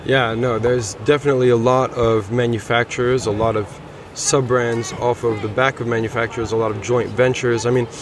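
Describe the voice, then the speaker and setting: A man's voice: mid-range voice, flat delivery, a little fast, few pauses, a crowd in the background. A man casually talking about cars on a motor show floor, rambling a little with restarts. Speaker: car show reviewer. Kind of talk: casual walkaround chat. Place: busy motor show hall.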